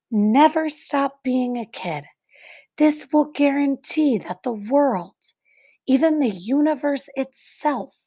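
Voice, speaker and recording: A woman reading a picture book aloud like a teacher, expressively, with deliberate dramatic pauses and emphasis for young listeners. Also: fairly high pitch, darkish tone, slightly rough. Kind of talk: expressive children's read-aloud narration.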